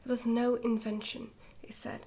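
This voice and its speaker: A woman reading a storybook in a soft-spoken ASMR style, slipping often into a whisper, with a gentle, hushed delivery. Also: fairly high pitch, little pitch movement, moderate pace, creaky. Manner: gentle, hushed delivery with some stumbles over words. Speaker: ASMR reader, channel host.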